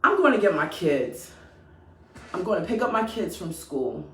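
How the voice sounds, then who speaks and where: A woman's voice: on the low side, moderate pace, roomy sound, far from the mic, poor audio. A woman from New York with a New York accent, ranting passionately and sassily straight to her audience with emphatic repetition. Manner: animated, sassy, emphatic repetition. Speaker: vlogger. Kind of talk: passionate direct-to-camera rant.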